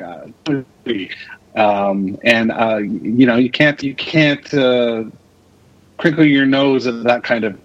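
Male podcast guest, unhurried and hesitant, conversational, on a slightly lo-fi recording. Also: medium pitch. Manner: hesitant, searching for words. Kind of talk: casual chat.

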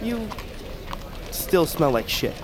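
Wry, higher-voiced watchful young man. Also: sing-song, slow pace. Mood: dry, foreboding. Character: wry, watchful blind young brother, cryptic and loyal. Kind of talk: cinematic audio drama acting.

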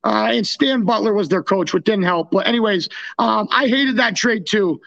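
High-pitched, creaky North American man. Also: normal speed, fairly bright tone, dull audio. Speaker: podcast co-host and former hockey player. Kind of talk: casual sports chat.

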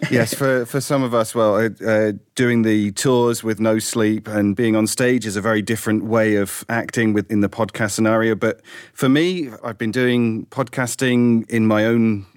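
A man's voice: lowish voice, little pitch movement, normal speed, clean tone, dry recording. A middle-aged man, a voice actor, talking thoughtfully in a studio interview. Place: studio, several people in one room.

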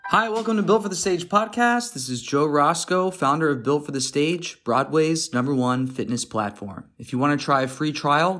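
A man's voice: fairly high pitch, fairly bright tone. A man hosting a podcast and interviewing a guest in a chatty, easygoing way. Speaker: podcast host. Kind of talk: casual chat.

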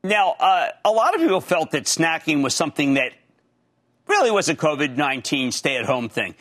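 Older philly guy hosting, high bright voice, excitable and emphatic. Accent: Philadelphia. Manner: excitable, emphatic, swings between high and low. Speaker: TV host. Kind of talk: TV interview.

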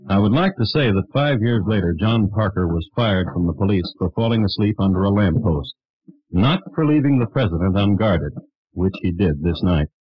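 A man narrating a historical crime drama for old radio in a measured, deadpan way. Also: sits low, dry recording. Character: dry, wry storyteller of historical crimes. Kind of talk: scripted 1950s radio narration. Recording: muffled old radio recording.

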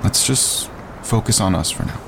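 An actor playing a calm, practical male wilderness hiker with a dryly teasing manner, in a naturalistic audio drama. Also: moderate pace. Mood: calm, firm. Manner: level-headed, dryly teasing. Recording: clear outdoor ambience and rumble.

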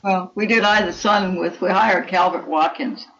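A woman's voice: fairly low pitch, fairly even intonation, moderate pace, fairly bright tone, highs cut off. An older woman speaking as a guest author in a conversational interview, explaining things articulately.

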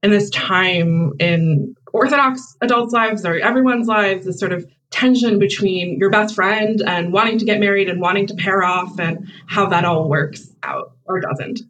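Co-host, mid-pitched voice, measured and reflective questioning. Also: a bit bright, poor audio. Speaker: co-interviewer. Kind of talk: conversational interview question.

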